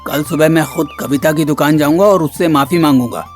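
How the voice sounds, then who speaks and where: A man's voice: on the high side, brisk, soft background music, lightly acted. A man voicing a stern, traditional village headman in a moral-story cartoon, speaking with authority. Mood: remorseful. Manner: authoritative, admonishing.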